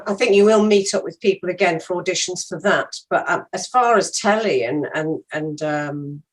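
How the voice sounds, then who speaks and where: A woman's voice: lowish voice, moderate pace, poor audio. An older woman, an interview guest, talking frankly and emphatically in casual conversation.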